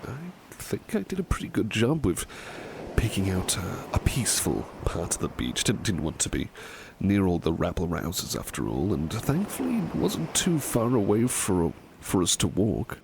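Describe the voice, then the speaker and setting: A devoted, polite butler, played by a man, speaks to the listener in an ASMR roleplay. His voice is lowish and creaky, with very lively intonation. He gushes with curious delight in genteel, halting phrases. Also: noisy background, heavily acted. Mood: enchanted, fond. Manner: genteel, effusive, halting with repeated words.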